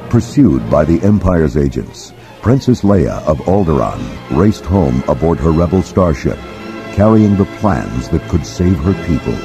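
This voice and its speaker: A man gives dramatic, scripted storybook narration. His voice is very deep and moves widely in pitch, with music clearly playing underneath. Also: very animated, measured pace.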